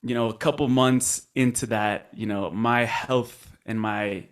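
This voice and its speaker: A man's voice: mid-range voice, steady tone, measured pace. A man in his twenties from Colombia, a podcast guest telling his story in an earnest, reflective way. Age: 20s. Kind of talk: casual podcast interview.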